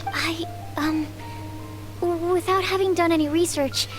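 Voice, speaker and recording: A woman's voice: extremely high pitch, fairly even intonation, unhurried, over music. A woman voicing a timid, bookish young alchemist in a video game, hesitant and precise. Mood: earnest, hesitant.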